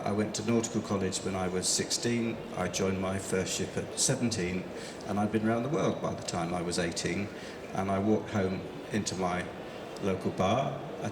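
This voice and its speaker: A middle-aged man moderating a conference panel, talking in a rambling way with lots of fillers. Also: fairly low pitch. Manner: rambling, hesitant, many fillers. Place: large conference hall. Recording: echoey hall with background noise.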